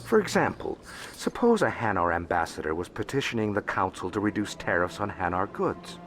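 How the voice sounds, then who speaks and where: An actor playing a shrewd, discreet alien financial broker in a video game, speaking formally and courteously in measured phrases. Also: male voice, on the low side, big pitch swings, fairly bright tone, slight background noise. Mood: smooth, defensive. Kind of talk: video game character dialogue. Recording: music playing behind the voice.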